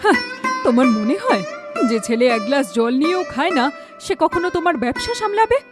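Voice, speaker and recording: A high female voice plays a middle-aged village mother in a cartoon. She is stern and emphatic, with a reproachful way of talking. Music runs clearly underneath. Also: very animated, brisk. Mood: exasperated.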